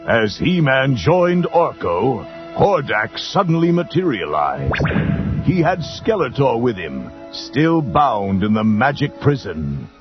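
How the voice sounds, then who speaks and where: A man's voice: mid-range voice, sing-song, takes it slowly. A man giving dramatic scripted children's narration on a muffled 1980s tape, with cartoon music behind him. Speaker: storybook narrator.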